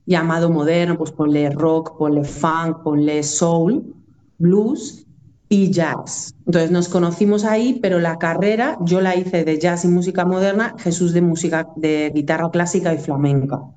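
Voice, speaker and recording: A woman's voice: fairly low pitch, fairly even intonation, unhurried, dark tone, a little hiss or hum. A woman from Aragón with a northern Spanish accent, talking in a casual, reflective and discursive way as a musician being interviewed. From Aragón, Spain.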